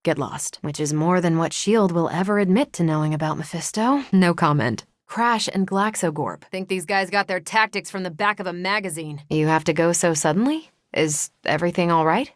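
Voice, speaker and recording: A confident superheroine from a video game, acted by a woman. Her voice sits a little low for a woman, with a dry, wisecracking, soldierly assurance. The recording is clean and free of room sound. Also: dry recording, close to an everyday voice.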